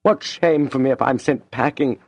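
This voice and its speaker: A man reading an audiobook and voicing a calculating young man with an earnest, measured, resolute delivery. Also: medium pitch, no echo, voice pushed up, lightly acted. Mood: anxious. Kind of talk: audiobook reading of a classic novel.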